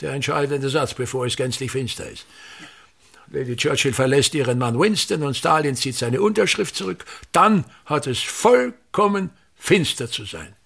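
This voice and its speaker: A man's voice: mid-range voice, moderate pace, vocal fry, fairly bright tone, husky. An actor in a radio drama playing a pompous, domineering older theatre director and father who delivers grandiose, pedantic, repetitive monologues. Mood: imperious.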